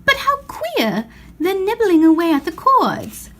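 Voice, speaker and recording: A woman's voice: very high voice, huge pitch swings, unhurried, fairly bright tone, pitched above the performer's own voice, heavily acted. A woman voicing a squeamish, proper schoolgirl in a storybook, prim and given to exclaiming. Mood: puzzled wonder. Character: squeamish, proper older schoolgirl in a fairy tale.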